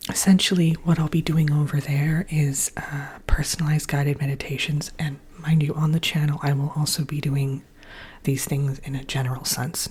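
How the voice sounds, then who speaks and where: Woman ASMR host whispering a casual update, congested and croaky, mellow, husky and airy. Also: low voice. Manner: soft unvoiced whisper with frequent pauses and fillers.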